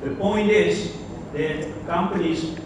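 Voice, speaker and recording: A man's voice: sits high, steady tone, slow pace. A man from the Netherlands with a Dutch accent giving a conference talk, speaking conversationally and putting questions to the audience. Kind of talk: conference keynote presentation. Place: large conference hall. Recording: very echoey hall, distant mic, background noise.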